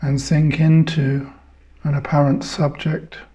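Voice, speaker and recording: A man leading a guided meditation in measured short phrases, leaving long pauses between them. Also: fairly high pitch, level tone, dark tone.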